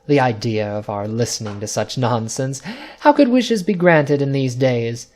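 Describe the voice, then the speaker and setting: A man's voice: mid-range voice, lively intonation, normal speed, a little mellow, lightly acted. A man voicing an elderly mother in a storybook drama, emphatic and anxious in manner. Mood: amused, dismissive. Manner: emphatic, anxious, breathless when alarmed. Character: elderly mother, cheerful then frantic with worry.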